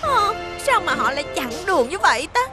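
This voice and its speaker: A shy, wide-eyed little bus girl fresh from the countryside. The voice is very high and swings widely in pitch. Loud music plays behind it. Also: big pitch swings, a little fast. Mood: timid. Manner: timid and wondering. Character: timid young country bus girl, curious. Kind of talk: Vietnamese cartoon dub.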